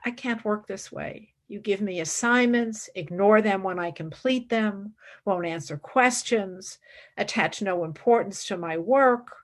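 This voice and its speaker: A woman's voice: mid-range voice, measured pace, natural voice. An actress playing a fervent young female philosophy student in a radio play, dramatic and intensely devoted. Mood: frustrated. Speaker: actor.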